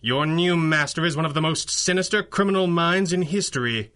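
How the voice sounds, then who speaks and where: A man's voice: on the high side, brisk, pushed and bright. A man playing a cool, wry masked crime-fighter in a pulp radio drama, with a suave and formally polite manner. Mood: accusing, assured.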